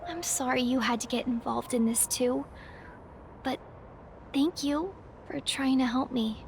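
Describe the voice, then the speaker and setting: A woman voicing a gentle, selfless teenage girl in a video game, earnest and soft-hearted. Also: high-pitched, unhurried, vocal fry, background noise. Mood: apologetic. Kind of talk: anime-style video game voice acting.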